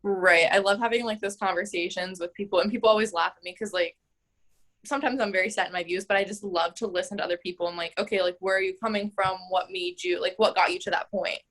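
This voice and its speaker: A woman's voice: medium pitch, fairly even intonation, fast, fairly bright tone. A woman in her twenties, a mayoral candidate, answering interview questions in a chatty way full of "like" and "um.". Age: 20s. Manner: chatty, frequent "like" and "um", laughs easily.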